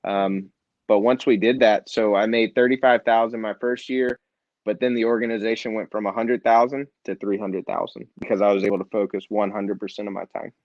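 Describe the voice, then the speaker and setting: A man with a low-pitched voice tells his story in an interview. He is earnest and animated and acts out the people he quotes. The sound is dry and slightly dull, with no room around it. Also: fairly quick, dry recording. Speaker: nonprofit founder and CEO.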